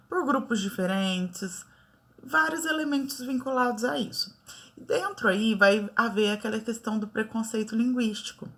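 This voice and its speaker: A Brazilian woman teacher giving a relaxed lesson, talking in a chatty way and often checking in with her students. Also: medium pitch. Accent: Brazilian Portuguese. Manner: chatty, many fillers like "né" and "tá". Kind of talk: casual online lesson.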